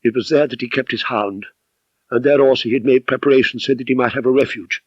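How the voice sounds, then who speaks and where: A man's voice: medium pitch, steady tone, fast talker, husky, no room sound, muffled recording, lightly acted. A man voicing an anguished, bitter woman, an abused wife, with impassioned melodrama in an audiobook story. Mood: vengeful, fervid.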